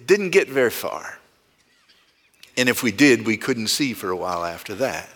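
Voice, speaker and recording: A man's voice: fairly low pitch, big pitch swings, normal speed, a bit bright. A male pastor preaching a sermon in a conversational way, asking the congregation rhetorical questions.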